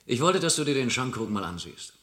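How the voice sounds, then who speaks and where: A man's voice: mid-range voice, big pitch swings, brisk, a bit bright. A man acting in a vintage crime radio play as a dry, persistent investigator, terse and understated in his questioning. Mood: understated, puzzled. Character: dry, persistent male investigator probing a forgery. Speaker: radio drama actor.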